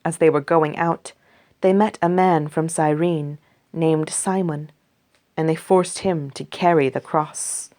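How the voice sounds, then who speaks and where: A woman reading a scripture story aloud for a church congregation, slow and measured. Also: sits low, fairly even intonation, dark tone. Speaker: scripture reader. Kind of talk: scripted read-aloud narration.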